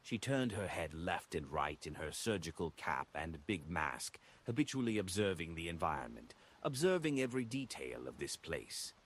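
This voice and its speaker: A man with a husky voice reading a novel as a scripted audiobook narration. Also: low voice, very animated, moderate pace, hardly any pauses.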